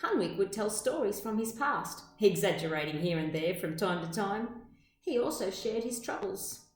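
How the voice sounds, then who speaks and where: A woman reading a children's storybook aloud like a teacher, with measured, expressive, dramatic phrasing. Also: mid-range voice. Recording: echoey room sound.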